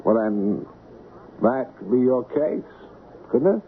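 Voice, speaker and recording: Slow, genteel, guarded company boss. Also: male voice, medium pitch, big pitch swings. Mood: smooth, insinuating. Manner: slow, deliberate, formal, halting. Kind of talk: old-time radio mystery acting. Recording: narrow, noisy old radio broadcast.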